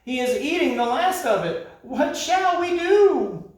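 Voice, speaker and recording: A frightened chorus of little storybook night creatures , as a man puts them on, whining and pleading in a high, wavering voice. The sound bounces around an echoey room. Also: animated, a little slow. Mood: wailing. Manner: whimpering, pleading. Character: timid, whiny chorus of jungle night creatures.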